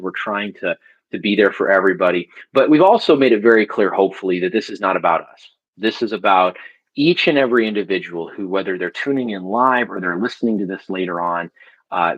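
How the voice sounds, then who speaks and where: Husky-voiced male co-host, lo-fi sound. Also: lowish voice. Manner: repeats words when starting thoughts, reflective. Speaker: podcast co-host. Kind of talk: conversational interview.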